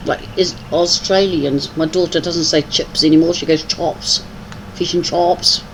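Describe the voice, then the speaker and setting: A woman's voice: fairly low pitch, steady tone, unhurried, slight background noise, poor audio. An older woman from New Zealand with a mixed Kiwi and English accent, chatting casually while she plays a game on a livestream. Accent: New Zealand with some English. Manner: chatty, teasing. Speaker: livestream host. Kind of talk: casual chat while gaming.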